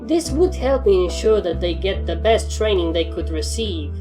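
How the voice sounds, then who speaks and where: A woman's voice: fairly low pitch, expressive, moderate pace, close to an everyday voice. A woman voicing a stern, demanding young pony trainer who speaks formally and firmly in a storybook reading. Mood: firm, insistent. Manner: formal, firm, wordy. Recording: music under the voice.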